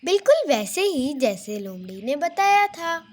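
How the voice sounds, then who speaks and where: A woman tells a children's story in a very high voice. The intonation swings widely and the pace is slow and measured. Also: very animated, over loud music. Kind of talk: scripted children's story narration.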